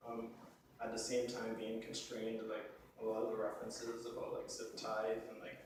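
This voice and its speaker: A man's voice: on the low side, monotone, moderate pace. A man from Haiti and the Bahamas gives an informal artist talk, thinking aloud and using "like" as a frequent filler. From born in Haiti, grew up in the Bahamas. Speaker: visual artist presenting his work. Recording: echoey room, distant mic, clear background noise.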